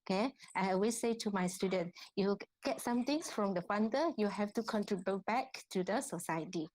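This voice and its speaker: A woman with a Malaysian accent lecturing at an online workshop as an academic, in a halting way, often repeating and rephrasing herself. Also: mid-range voice, darkish tone, rough recording. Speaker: academic researcher, guest lecturer.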